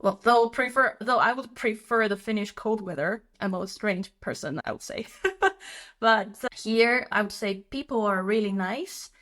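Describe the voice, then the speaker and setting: A woman's voice: mid-range voice, unhurried, a bit bright. A woman from China, chatting as an interview guest. She laughs often and fills her talk with "uh" and "like.". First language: Chinese. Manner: chatty, laughing, with frequent fillers. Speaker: guest, company co-founder. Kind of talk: casual interview.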